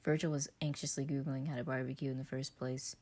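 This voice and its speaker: A woman's voice: extremely low pitch, level tone, quick pace, darkish tone. A woman reading a scripted story aloud as narrator. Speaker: podfic reader.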